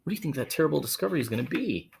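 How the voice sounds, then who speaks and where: A man's voice: fairly high pitch, rapid-fire, vocal fry, slightly rough. A man hosting a picture-book read-aloud for children, telling the story expressively and adding chatty asides to his young listeners. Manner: expressive, dramatic storytelling, addressing children directly. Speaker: children's read-aloud host, teacher or school staff.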